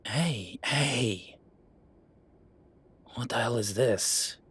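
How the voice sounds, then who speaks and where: A man playing a gruff, sarcastic merman who runs a tattoo shop, speaking in a halting, conversational audio roleplay style. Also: medium pitch, a bit bright, slight background noise. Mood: concerned, gruff. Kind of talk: naturalistic one-sided audio roleplay.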